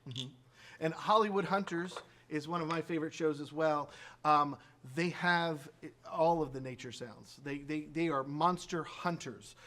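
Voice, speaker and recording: A man's voice: fairly high pitch, normal speed, fairly bright tone. A man from a radio drama troupe, casually prompting sound effects and rambling with many fillers and restarts. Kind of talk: casual demonstration talk.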